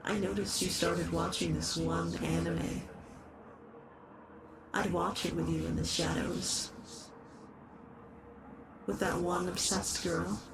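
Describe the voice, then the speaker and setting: A performer voicing a lovesick shapeshifting horror in a roleplay monologue, doting and possessive, halting, with soft menace in the endearments. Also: mid-range voice, little pitch movement, vocal fry, noisy background. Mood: possessive, confessional. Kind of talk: dramatic roleplay monologue addressed to the listener.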